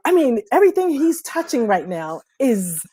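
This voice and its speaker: Woman, very lively intonation, slangy, music show co-host, casual conversation. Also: on the high side, normal speed. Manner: animated, slangy.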